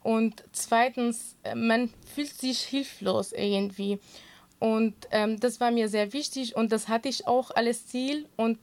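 A woman's voice: fairly high pitch, steady tone, slow pace, darkish tone. A woman whose first language is Kurdish, giving earnest, conversational interview answers in non-native German as a guest. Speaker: interview guest, swimming teacher and social media creator.

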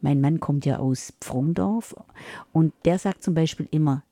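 A woman's voice: low-pitched, unhurried, warm tone, dry recording. Chatty middle-aged woman with a Swabian accent. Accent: Upper Swabian. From Upper Swabia, Germany. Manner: chatty, hesitant with many fillers. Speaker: radio guest. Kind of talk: casual radio conversation.

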